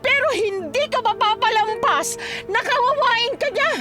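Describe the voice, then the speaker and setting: A woman's voice: very high-pitched, expressive, measured pace, music in the background. A woman playing a furious, protective middle-aged village mother with a Filipino accent, scolding and threatening in radio melodrama. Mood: indignant.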